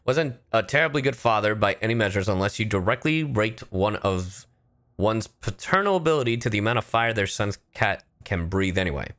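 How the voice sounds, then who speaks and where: Animated low-voiced male game streamer. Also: a little fast. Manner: animated, playful, profane. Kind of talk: live gameplay commentary.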